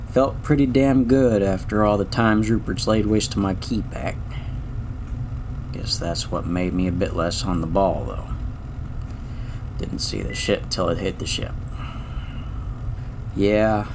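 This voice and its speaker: A man's voice: deep voice, measured pace, long pauses, noisy background. A man voicing a weary, sardonic lone male space garbage man who narrates his own story with dry, cursing wit in an audio drama. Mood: rueful. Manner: wry, dry, muttering curses.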